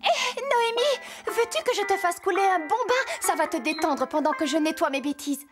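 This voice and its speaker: A woman's voice: extremely high pitch, lively intonation, moderate pace, over music. A woman voicing a cheerful, scatterbrained young house fairy in a children's cartoon, bubbly and playful. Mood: apologetic, eager. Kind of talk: animated children's cartoon acting.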